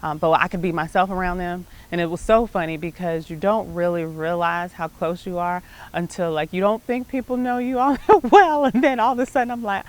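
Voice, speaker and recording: A woman's voice: on the low side, fairly even intonation, fairly quick. A middle-aged American woman hosting her show, telling personal stories about life and faith in a conversational, emphatic way. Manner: conversational, emphatic, often checking in with "right?". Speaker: show host.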